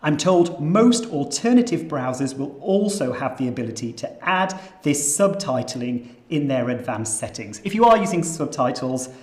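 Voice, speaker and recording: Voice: mid-range voice, very animated, moderate pace, hardly any pauses. Yorkshire-accented event host, roomy sound. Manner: light self-deprecating asides. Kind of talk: scripted event housekeeping announcements. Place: nature reserve headquarters.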